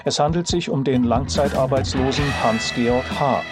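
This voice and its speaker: A man's voice: mid-range voice, moderate pace, over music, lightly acted. An actor in a radio drama playing a male news announcer, reading a crime bulletin formally and factually. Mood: matter-of-fact.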